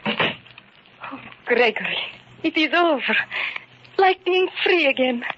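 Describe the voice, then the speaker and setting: An actress playing a shoemaker's devoted, tender wife in an old-time radio mystery. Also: female voice, high voice, lively intonation, a little slow. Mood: relieved. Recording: muffled vintage radio recording.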